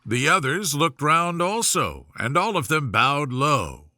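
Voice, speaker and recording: A man's voice: fairly high pitch, very animated, takes it slowly, bright, forceful tone, dry recording, studio quality. A man narrating a scripted bedtime story as an audiobook reader.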